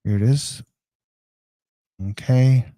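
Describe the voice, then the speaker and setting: An American man hosts a casual live stream, giving rambling tech advice. His voice is relaxed and mellow, with a mid-range pitch. The sound is very dry. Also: slow pace, dry recording.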